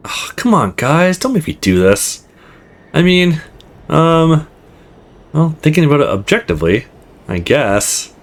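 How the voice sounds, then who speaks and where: Man acting a sheepish, animated young man. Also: on the high side, unhurried, pauses often, pitched above the performer's own voice. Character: young man, sensible, sheepish peacemaking best friend.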